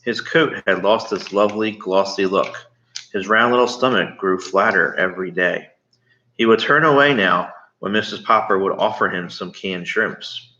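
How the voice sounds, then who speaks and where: A man reading a storybook aloud, pausing to explain things and ask questions as he goes. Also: deep voice, sharp tone. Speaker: read-aloud storyteller to children. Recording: slightly muffled, echoey room.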